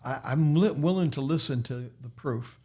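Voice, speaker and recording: A man's voice: medium pitch, moderate pace, warm tone, husky. A man with a Boston accent, a podcast guest and author, talking in a casual chat with many restarts and fillers.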